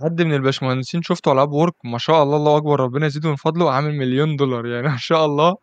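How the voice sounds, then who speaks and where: Quick-talking Egyptian host, dull lo-fi sound. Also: male voice, a little high, steady tone, clean tone, dry recording. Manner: chatty, keeps asking "you understand?". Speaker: podcast host. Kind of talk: casual podcast interview.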